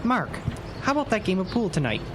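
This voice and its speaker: A breezy small-town buddy played by a man with a slightly high voice. He chats casually and chummily in a radio drama, with clear outdoor street noise around him. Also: huge pitch swings, fast. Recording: noisy street ambience in audio drama.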